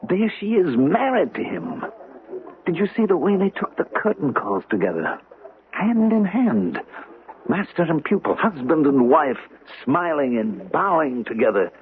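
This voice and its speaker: An actor playing an earnest, lovesick painter in a 1970s radio drama, fervent and yearning. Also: male voice, a little high, sing-song, unhurried. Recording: noisy muffled vintage radio broadcast.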